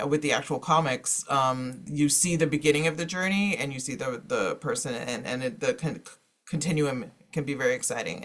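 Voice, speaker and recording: A man's voice: medium pitch, a bit bright. A man moderating an informal panel presentation, talking in a discursive way peppered with "you know".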